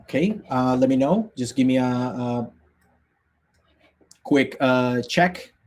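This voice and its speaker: A man's voice: mid-range voice, slow pace, a little mellow. A man in his thirties from the Dominican Republic, a native Spanish speaker, teaching a live online coding workshop over a video call, upbeat, with many fillers and restarts. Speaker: workshop instructor.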